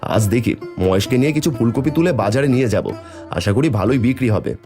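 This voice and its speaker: Man as hardworking cartoon farmer, kindly father, medium pitch, very expressive, hopeful, light music behind. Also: brisk, natural voice. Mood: warm, determined. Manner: animated, warm to family. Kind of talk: cartoon voice acting.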